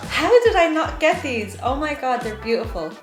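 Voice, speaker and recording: A woman's voice: sits high, very animated, normal speed. Chatty woman vlogger with music behind. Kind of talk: casual shopping vlog narration and chat.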